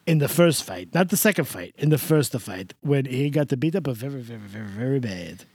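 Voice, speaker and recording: A man voicing a sentimental, rambling older male host character who adds a stray "a" before words, with a very lively, up-and-down pitch. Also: fairly quick, slightly rough, no room sound. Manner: slips a stray "a" before words, broken grammar, over-the-top gushing. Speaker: podcast host. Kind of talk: comedic in-character podcast bit.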